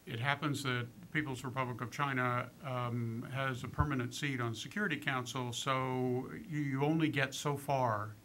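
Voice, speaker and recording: A man's voice: mid-range voice, fairly even intonation, pushed and bright, a little hiss or hum. Hesitant man on a policy panel. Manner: hesitant, many ums and uhs, measured. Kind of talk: panel discussion.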